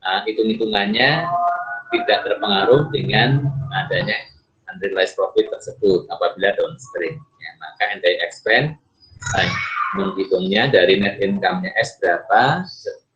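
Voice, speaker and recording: A man's voice: on the low side, moderate pace, background noise. An Indonesian man giving a step-by-step recorded lecture in a didactic way, often asking questions and answering them himself. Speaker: accounting lecturer. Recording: muffled, lo-fi microphone sound.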